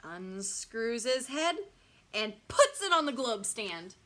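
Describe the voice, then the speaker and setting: A woman reading a children's bedtime story expressively, with dramatic, deliberate phrasing and pauses for suspense. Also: on the high side, sing-song, a bit bright. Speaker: storybook reader.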